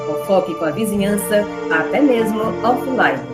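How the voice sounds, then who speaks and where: A woman's voice: medium pitch, animated, moderate pace. A woman with a Brazilian accent narrating a scripted radio serial in a theatrical announcer style. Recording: roomy echo, music behind. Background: music.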